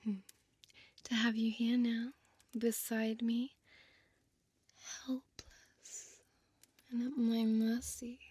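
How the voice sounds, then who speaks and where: A woman playing a seductive, possessive vampire innkeeper in an ASMR audio roleplay, whispering softly with hypnotic, commanding slowness. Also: a little high, little pitch movement, no room sound, exaggerated voice. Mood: teasing, seductive. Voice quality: breathy, soft whisper. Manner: slow, hypnotic, coaxing, deliberate pauses.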